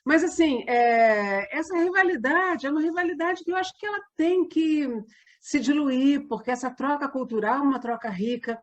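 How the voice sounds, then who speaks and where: Older Brazilian woman, higher-pitched, soft Rio accent. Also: normal speed, dull audio. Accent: softened Rio de Janeiro Brazilian Portuguese. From Rio de Janeiro, Brazil. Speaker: interview guest, radio drama performer and producer. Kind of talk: casual interview chat.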